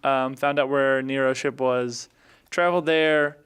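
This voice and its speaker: A man with a very clean, smooth voice gives a casual recap of a tabletop game adventure. He speaks haltingly, feeling around for details as he goes, like a player on a podcast. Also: mid-range voice, fairly even intonation, fairly bright tone. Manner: halting, searching for memory.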